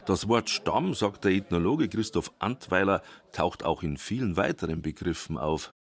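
Deep-voiced documentary narrator. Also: male voice, expressive. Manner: wry, measured storytelling. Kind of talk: scripted narration.

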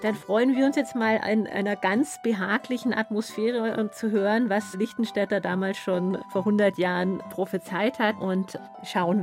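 A woman interviewing guests on radio with prepared but conversational questions. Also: medium pitch.

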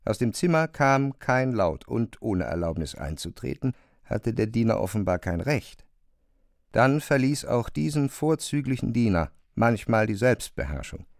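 A man's voice: medium pitch, very animated, a little slow, creaky, no room sound. Dry, close-miked male narrator. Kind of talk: scripted literary narration.

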